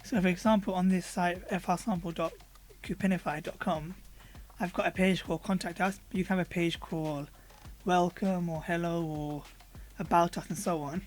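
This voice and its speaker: Hoarse guy doing a casual screen-share walkthrough, lots of ums, easygoing. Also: high voice, moderate pace. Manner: relaxed, conversational, with frequent fillers. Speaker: product reviewer. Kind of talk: screen-recorded software walkthrough.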